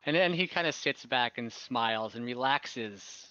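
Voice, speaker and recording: A man's voice: medium pitch, expressive, a little slow, sharp tone, clean tone, no room sound, muffled recording. A man running a tabletop role-playing game as game master, narrating and bantering casually.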